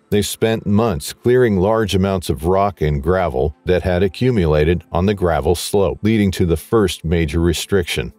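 A man reading scripted documentary narration in an even, measured way. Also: low-pitched.

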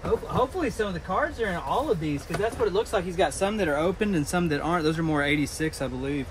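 A man in his thirties with a slightly high voice talks fast and excitedly as he digs through a storage unit. The metal-walled room is recorded on a camera mic, with clear background noise from the space and the road. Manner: excited, rapid commentary while digging through boxes. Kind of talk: casual vlog narration. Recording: noisy storage unit ambience.

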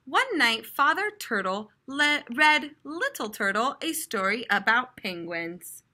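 Bright, animated young American woman teacher. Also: fairly high pitch, slow pace. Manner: expressive, explanatory, clearly addressed to children. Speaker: primary school teacher. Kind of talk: read-aloud lesson for young children. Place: classroom, indoors.

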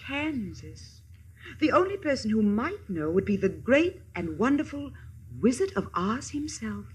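A woman's voice: fairly high pitch, big pitch swings, a little slow, slight background noise. An actress playing a kindly, self-assured good witch, grand and soothing, in a 1950s radio play. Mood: reassuring. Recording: old radio broadcast with music behind.

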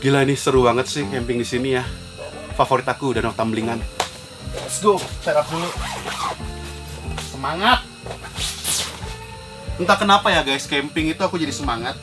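A man's voice: medium pitch, normal speed, long pauses. A man in his thirties hosting a casual camping vlog outdoors, upbeat and chatty, talking straight to viewers. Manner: upbeat, addresses viewers as guys. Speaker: camping vlogger host. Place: outdoors on a lakeside field. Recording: background music.

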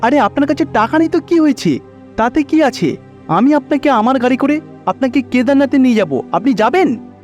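A man's voice: very high voice, expressive, moderate pace, a little mellow, highs cut off. A man playing a kindly, helpful young traveller in a cartoon, polite and reassuring. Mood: eager, helpful. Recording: faint music behind.

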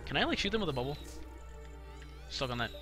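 Excitable young man streaming, lively pitch swings. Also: fast, soft background music. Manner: excitable, slangy, profane, repeats himself. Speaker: video game live streamer. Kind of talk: casual gameplay commentary to a live chat. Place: live game stream with game music behind the voice.

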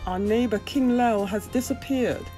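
A woman's voice: on the high side, mellow, soft background music, voice pushed up, natural voice. A woman voicing a wise, grave knight who counsels a young squire in a storybook. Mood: earnest counsel. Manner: measured, advising.

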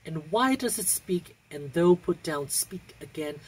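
An androgynous young adult reads poetry aloud in a very husky, breathy voice. The delivery is theatrical and declamatory, with lively swings in pitch and deliberate pauses. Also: poor audio. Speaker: poetry reader. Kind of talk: dramatic poetry recitation.

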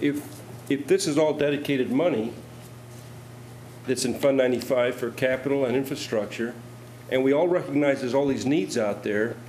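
A man's voice: medium pitch, darkish tone, a little hiss or hum. A middle-aged man alderman questioning budget figures with skeptical, pointed challenges during a city council debate, speaking into a desk microphone.

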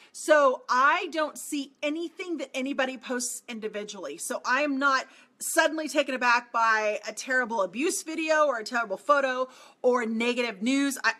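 Brief: forties woman, high bright voice, coach talking to camera, emphatic and repetitive. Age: 40s. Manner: emphatic, repeats phrases, animated with hand gestures. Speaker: marketing coach. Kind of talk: direct-to-camera motivational talk. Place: small home office indoors.

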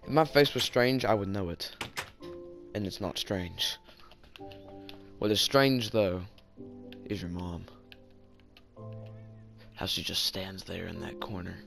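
A man's voice: low voice, slow, gravelly, pauses often, over music. A young man with a Southern US accent, rambling and joking through casual gameplay commentary while tired. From southern United States. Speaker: gaming YouTuber.

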